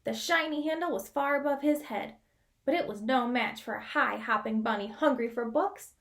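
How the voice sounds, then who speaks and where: A woman reading a picture book aloud as a storyteller, expressive, with dramatic pauses and drawn-out key words. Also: sits high. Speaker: children's read-aloud storyteller.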